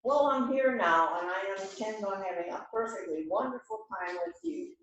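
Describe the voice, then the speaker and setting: An older woman with a medium-pitched voice reads from a play script as an amateur. The sound is heavily echoing and distant, with faint noise behind it. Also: expressive, normal speed, muffled recording. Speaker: amateur readers theater participant. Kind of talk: amateur script read-through. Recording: very echoey room, distant mic, faint noise.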